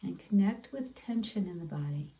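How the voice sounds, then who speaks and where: A woman instructor leading a guided meditation in a gentle, deliberate, encouraging way over an online video class. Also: lowish voice, lively intonation, low-quality recording. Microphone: away from the mouth, video call.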